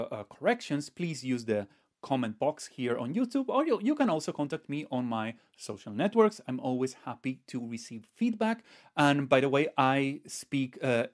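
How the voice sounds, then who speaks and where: Methodical man teaching to camera. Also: medium pitch. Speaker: music teacher, online video host. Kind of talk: instructional explanation to camera.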